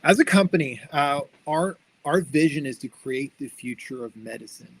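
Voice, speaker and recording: A man's voice: on the high side, animated, normal speed, breathy edge, poor audio. Upbeat man giving an online talk. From born in Sweden, raised in the United States. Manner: friendly and upbeat, many restarts and repeated words. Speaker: guest speaker, company founder. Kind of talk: online seminar talk with slides. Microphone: video call.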